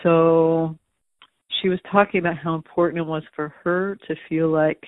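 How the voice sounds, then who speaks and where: A man's voice: a little high, flat delivery, normal speed, no room sound. A man talking through an interview answer thoughtfully, with frequent restarts and fillers, as an educator. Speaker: museum education staff. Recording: tinny phone-like audio.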